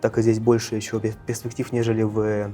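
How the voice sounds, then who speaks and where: A young man of eighteen, a student, talks casually in an interview, halting and correcting himself. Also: fairly low pitch, completely flat delivery, dark tone. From Russia, Yakutia. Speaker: first-year university student. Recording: faint music behind the voice. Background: faint background music.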